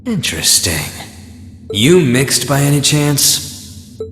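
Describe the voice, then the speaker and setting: A man's voice: medium pitch, very animated, slow pace. An actor playing a surly, aloof young man in a video game, blunt and bored in manner. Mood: aloof, annoyed. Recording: music behind the voice.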